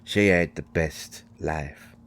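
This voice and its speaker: Man acting a deep, slow-spoken grieving fairy husband. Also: a little hiss or hum, heavily acted. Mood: wistful, grieving. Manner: halting, emotional, swinging from snappish outbursts to quiet murmurs. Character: grieving fairy husband, gruff, defensive and wounded. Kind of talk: roleplay audio drama monologue.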